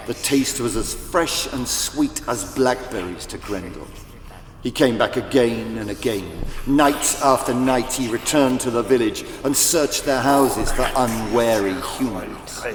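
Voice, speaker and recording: A man narrating a children's audiobook in a theatrical, deliberate, scripted storytelling style. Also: medium pitch, slight background noise. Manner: theatrical, deliberate, with big swells and hushes. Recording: echoey, long reverb, music behind.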